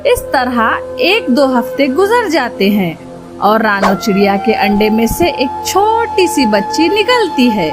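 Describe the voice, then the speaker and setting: A woman's voice: sits high, sing-song, slow pace. A woman narrating a scripted children's story as the storyteller of a cartoon. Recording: loud music behind the voice.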